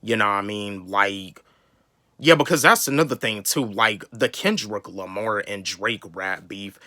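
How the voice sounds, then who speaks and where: A man's voice: fairly low pitch, a little slow, pushed and bright, no echo, studio quality. A young man from the US South giving a casual, rambling opinion on a rap feud, often repeating words as he talks. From North Carolina, US South. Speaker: YouTube hip-hop commentator.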